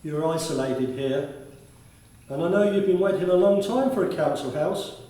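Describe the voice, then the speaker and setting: A man acts out a courteous, chatty male school attendance officer in a radio play. His voice sits fairly high for a man and carries a kindly concern. It is captured at a distance in a very echoey room. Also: normal speed, mellow, a little hiss or hum, natural voice. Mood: sympathetic. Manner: courteous, formal address, talkative.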